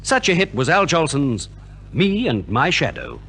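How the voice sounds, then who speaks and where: A man presents a 1950s radio show from a script. His voice sits on the high side and moves expressively up and down, with a polished, theatrical way of telling a story. It has the sound of a vintage broadcast transcription. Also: huge pitch swings, measured pace, loud music behind the voice. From Australia.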